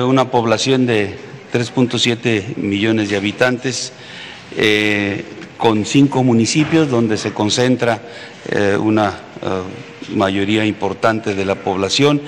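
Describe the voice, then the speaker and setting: Low male voice, Mexican accent, halting formal briefing, echoey hall, some background noise. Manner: halting, frequent hesitations. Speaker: military official giving a security briefing. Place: large indoor hall at a press conference. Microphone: podium microphone.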